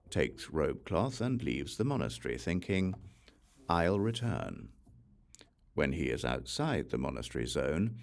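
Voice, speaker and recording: A man reading a religious text as an audiobook narrator, in a measured, deliberate way. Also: a bass voice, creaky, darkish tone.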